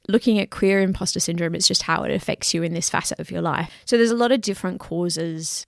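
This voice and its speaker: A woman's voice: lowish voice, creaky, no echo, clean studio sound. A young woman co-hosting a podcast, chatty and laughing often, sometimes dropping to a near-whisper. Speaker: podcast co-host. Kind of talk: casual chat.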